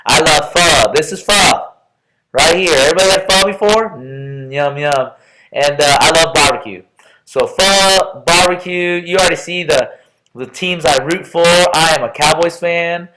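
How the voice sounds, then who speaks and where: A man's voice: fairly high pitch, a little slow, pushed and bright, rough recording. A middle-aged man from Texas with an American accent gives a playful, chatty, informal self-introduction as a college professor, often stopping and restarting. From Texas, United States. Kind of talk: informal self-introduction lecture for an online class.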